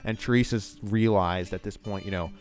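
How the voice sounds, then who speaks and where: A man's voice: lowish voice, steady tone, normal speed, a little mellow, faint music behind. A man giving enthusiastic, rambling commentary on a TV scene as the host of a video essay.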